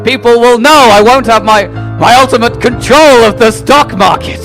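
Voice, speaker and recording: A man's voice: extremely high pitch, moderate pace, a bit bright, faint music behind. An actor playing a massive scheming male villain, pompous and melodramatic, in an improvised comedy radio play. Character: massive scheming male villain secretly controlling the stock market.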